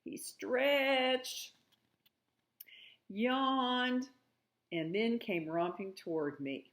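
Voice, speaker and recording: A woman narrating a storybook aloud in an expressive way. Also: fairly high pitch, very slow.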